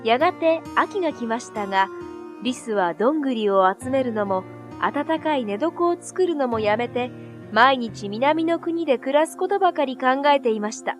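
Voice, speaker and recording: High-voiced woman narrating a children's story. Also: lively intonation, moderate pace. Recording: soft music behind.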